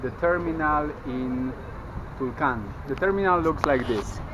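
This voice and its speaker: A man's voice: a little high, a little slow. A young man with a Polish accent, whose first language is Polish, chatting to a handheld camera outdoors as a travel vlogger and explaining things step by step. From Poland. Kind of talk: casual travel vlog talk to camera. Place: outdoors at a busy border crossing, then a roadside food stall. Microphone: handheld camera at arm's length. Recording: outdoor camera audio with clear traffic noise. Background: street and traffic noise.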